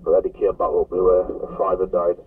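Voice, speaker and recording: A man with a husky voice chatting haltingly as a CB radio operator. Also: sits low, completely flat delivery, dry recording. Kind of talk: casual radio contact chat. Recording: distant, thin phone-like radio signal.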